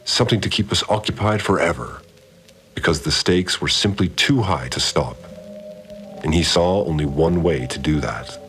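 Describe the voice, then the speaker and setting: An actor playing a calm, cryptic male cult priest, narrating his log in a measured, solemn way. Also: sits low, heavily acted. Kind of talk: scripted audio drama narration. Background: soft music.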